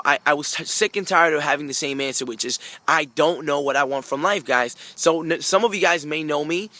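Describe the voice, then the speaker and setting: Man, native Spanish speaker, bright forceful voice, slightly high pitch, earnest, repetitive, motivational talk to camera. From Peru, moved abroad at age five. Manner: earnest, repetitive, often addressing listeners directly.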